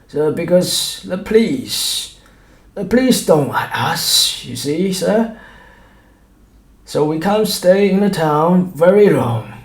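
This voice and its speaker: A man's voice: fairly high pitch, echoey room, voice pushed up. A man voicing a bullying, fawning, greedy sideshow shopkeeper for an audiobook, with emphatic, servile delivery. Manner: fawning, emphatic, says sir repeatedly. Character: loud, grinning, greedy male sideshow shopkeeper, bullying and obsequious. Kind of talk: audiobook character voice.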